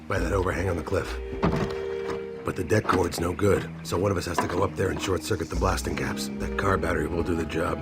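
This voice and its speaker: A man playing a world-weary, ruthless mercenary zealot in a video game cutscene, speaking in a grim, deliberate, fatalistic way. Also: low voice. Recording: dramatic music loud behind the voice. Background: loud music.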